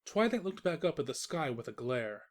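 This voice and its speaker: A woman narrator reading a scripted comedic story in an expressive, wry storyteller manner. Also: low-pitched, moderate pace.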